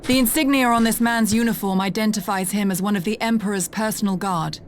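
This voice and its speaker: A woman playing a keen-eyed, level-headed female warrior scout in a video game, speaking formally and deliberately. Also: mid-range voice, slight background noise, natural voice. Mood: serious, analytical. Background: faint game music.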